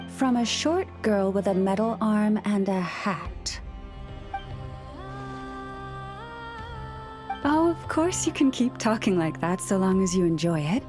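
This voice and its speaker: An actress plays a gentle young woman in a video game. She speaks in a measured, articulate way at a middling pitch, composed and quietly wry, with music clearly playing behind her. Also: natural voice. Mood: gently indulgent. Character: gentle, composed young woman who understands animal speech.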